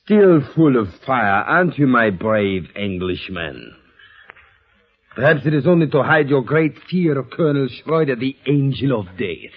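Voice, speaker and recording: An actor playing a sadistic, gloating Nazi colonel with a German accent and a theatrical, taunting manner in a 1940s radio drama. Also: male voice, medium pitch, sing-song, unhurried, slightly rough, exaggerated voice. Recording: muffled vintage radio recording.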